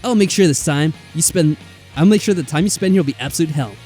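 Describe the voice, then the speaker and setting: A man's voice: fairly high pitch, quick pace, darkish tone, soft background music, voice pushed up, natural voice. A man voicing a furious, menacing young male coworker in a visual novel, spiteful and overdramatic.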